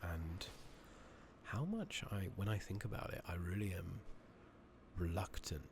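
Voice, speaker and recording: A British man murmurs softly in a very deep, crackly voice with plenty of fry. He muses hesitantly and casually as he plays a game, ASMR style. The close microphone carries clear background noise. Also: expressive, measured pace. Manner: rambling, hesitant, casual musing. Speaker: streamer playing an online fantasy game. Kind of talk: soft-spoken, whispering ASMR game commentary. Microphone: close makeshift microphone. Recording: noisy, slightly loud close microphone.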